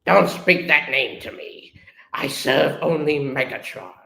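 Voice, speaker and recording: A man voicing a tormented robot warrior with a growling, slow, dramatic delivery, recorded in an echoey room. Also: medium pitch, vocal fry, sharp tone. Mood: cold menace. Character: tormented robot warrior soldier, growling, defiant, later softened.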